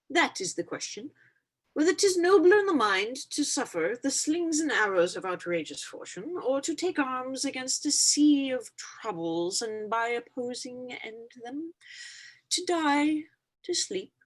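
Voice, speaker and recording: A stately lady schoolteacher played by a woman declaims Shakespearean verse for a stage reading. The voice is fairly high and husky, with sweeping, theatrical rises and falls. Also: exaggerated voice. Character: stately female schoolteacher reciting verse to class.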